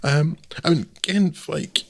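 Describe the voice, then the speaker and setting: A man's voice: on the high side, a little slow, vocal fry. A man co-hosting a podcast, chatting casually about films in a rambling, dismissive way with lots of fillers. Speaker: podcast co-host. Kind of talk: casual film review chat.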